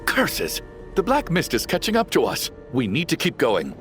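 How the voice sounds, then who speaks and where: A middle-aged father from a video game, blustery but soft-hearted. He stumbles over his words and his pitch leaps all over the place. Prominent music plays behind him. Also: wildly swooping pitch, normal speed. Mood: alarmed. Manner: hesitant, stammering. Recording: voice under loud game music.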